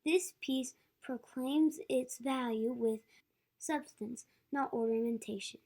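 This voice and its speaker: Courteous shopkeeper, acted by a schoolgirl, high but soft-toned, formal sales delivery, radio play. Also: slow pace. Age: child of about ten.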